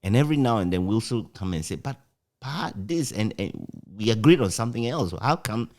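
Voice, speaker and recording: A man with a Ghanaian accent, reminiscing casually as an interview guest in an animated, anecdotal way. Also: fairly low pitch, fairly quick. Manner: animated, chuckles often while recounting anecdotes. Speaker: interview guest, former radio broadcaster.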